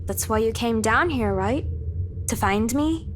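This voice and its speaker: Woman playing a high-voiced, accusing ghost girl. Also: animated, unhurried, a little hiss or hum. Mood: hurt, insistent. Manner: needling, pointed questions. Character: dead teenage girl's ghost, hurt, accusing, clinging.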